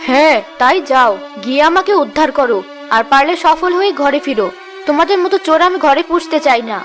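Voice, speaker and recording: A woman's voice: high voice, expressive, fairly quick, fairly bright tone, faint music behind. A woman playing a stern, scolding mother of grown sons in a cartoon fairy tale, with a blunt, sarcastic manner.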